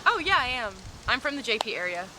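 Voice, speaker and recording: A skeptical young woman on a date played by an actress speaks high and with lively swings of pitch. She is casual and comic, easily exasperated, recorded in noisy surroundings. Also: fast. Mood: puzzled, curious. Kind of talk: acted comedy sketch dialogue. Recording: noisy outdoor location sound.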